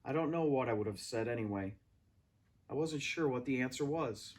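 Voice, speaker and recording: A man reading a story aloud as an expressive narrator. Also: medium pitch, moderate pace. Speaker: read-aloud reader.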